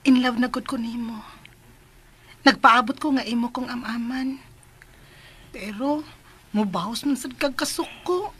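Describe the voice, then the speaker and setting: High-voiced lovestruck, sulky Cebuano Filipino woman. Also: a little slow, long pauses, a bit bright, breathy edge. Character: lovestruck, sulky, clingy woman pining for a man. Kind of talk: Filipino radio drama acting.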